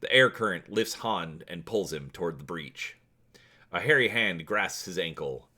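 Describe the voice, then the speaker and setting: A man's voice: low voice, animated, moderate pace, sharp tone. A man hosting a story channel and reading an adventure aloud in a dramatic storytelling style. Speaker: host and narrator of a story channel.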